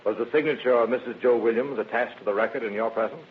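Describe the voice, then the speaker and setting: An actor playing a formal, confident district attorney in an old radio drama. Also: male voice, medium pitch, fast talker, lightly acted. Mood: formal questioning. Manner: formal, confident courtroom questioning and argument. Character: assured, formal male prosecuting district attorney. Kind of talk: 1940s radio acting. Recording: muffled, noisy old radio recording.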